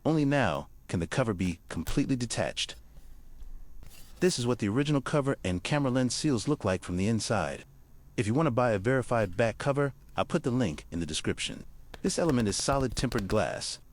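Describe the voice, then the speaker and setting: A man's voice: medium pitch, lively intonation, brisk. A man giving scripted instructional narration, guiding the listener carefully through each step. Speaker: repair tutorial narrator.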